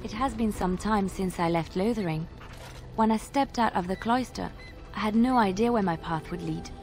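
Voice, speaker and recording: An actress playing a gentle, devout young cloister sister in a video game, coy and soft-spoken. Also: female voice, mid-range voice, normal speed, natural voice. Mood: tender. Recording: music underneath. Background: music.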